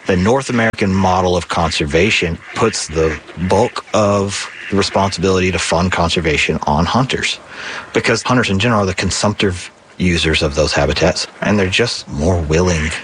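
A man with a very low voice, speaking steadily and informatively as an interviewee. There is faint background noise behind him. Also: moderate pace, fairly bright tone. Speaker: conservation project manager, interviewee. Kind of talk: explanatory interview talk.

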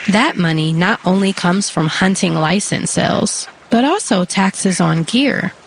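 A woman host reading a short scripted radio segment with an even, polished delivery. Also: on the low side, a little slow, vocal fry, a little hiss or hum, low-quality recording.

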